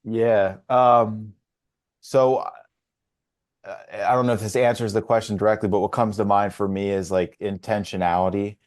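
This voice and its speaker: A man's voice: on the low side, level tone, moderate pace. A man in his forties with an American accent, speaking in an earnest, reflective way in a casual roundtable. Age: 40s. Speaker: podcast panelist.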